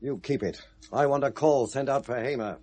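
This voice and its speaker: An actor playing a sardonic, insistent British police detective in charge, in a 1970s radio drama, with a husky voice. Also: male voice, medium pitch, moderate pace, a little mellow, lightly acted.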